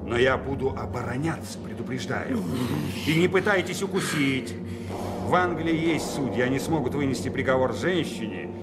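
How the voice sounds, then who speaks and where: An actor playing a stern, paternal English lord in a radio drama, speaking in a declamatory, commanding manner. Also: male voice, mid-range voice, animated, moderate pace, heavy background noise, natural voice. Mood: menacing. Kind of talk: classic radio drama acting.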